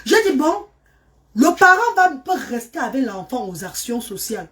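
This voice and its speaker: Fervent high-voiced woman. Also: very animated, moderate pace, a bit bright. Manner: fervent, repetitive, pleading. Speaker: mother and charity worker. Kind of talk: emotional personal testimony.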